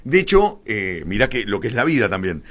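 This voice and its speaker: A man's voice: on the low side, sing-song, a little fast, a bit bright. A middle-aged man with a Rioplatense Argentine accent, explaining his views as a radio interview guest in an opinionated, irreverent, emphatic way.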